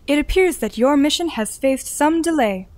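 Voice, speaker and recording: Sci-fi guide system, female woman actor, higher register, composed, official, procedural. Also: lively intonation, darkish tone, a little hiss or hum. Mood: calm, formal. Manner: formal, measured, procedural. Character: artificial guide system, formal, unhelpfully calm. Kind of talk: audio drama acting.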